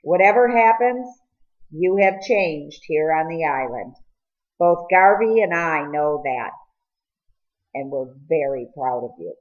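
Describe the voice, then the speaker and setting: A woman's voice: sits low, animated, slow pace, muffled recording. A woman reading the part of a skeptical older man, a stern mentor in a storybook, in a firm manner. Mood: gentle, conceding. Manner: firm, challenging.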